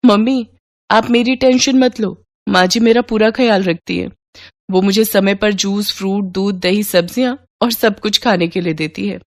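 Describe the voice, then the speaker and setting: A woman's voice: mid-range voice, normal speed, no echo, clean studio sound, lightly acted. A woman voicing a loyal young married daughter, polite but firm, defending her in-laws in a moral story. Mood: reassuring.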